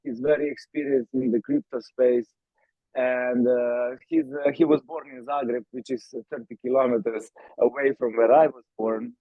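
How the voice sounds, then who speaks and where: A man's voice: mid-range voice, animated, moderate pace, creaky, dry recording. A man from Slovenia answering podcast interview questions, with many fillers and restarts. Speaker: startup founder, guest. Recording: tinny phone-like call audio.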